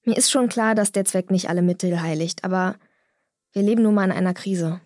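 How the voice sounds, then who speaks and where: A woman's voice: fairly low pitch, a little fast, darkish tone, no echo, clean studio sound, natural voice. A woman playing an earnest, defiant young climate activist answering questions in an audio drama interview. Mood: reflective.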